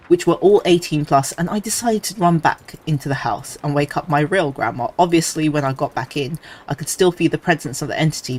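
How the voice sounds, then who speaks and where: A male streamer reading creepy stories aloud, with relaxed, chatty asides between them. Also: fairly high pitch.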